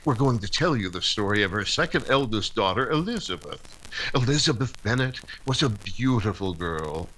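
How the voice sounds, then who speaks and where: A man's voice: fairly low pitch, expressive, normal speed, a bit bright, a little hiss or hum, rough recording. A man announcing and narrating in a theatrical 1940s radio style.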